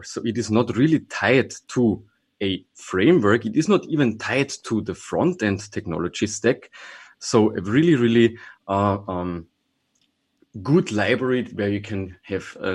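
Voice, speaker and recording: Brief: man, lowish husky voice, easygoing guest who thinks out loud. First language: German. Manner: relaxed, thinking aloud with restarts and small laughs. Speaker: guest, trainer and consultant. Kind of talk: casual podcast interview.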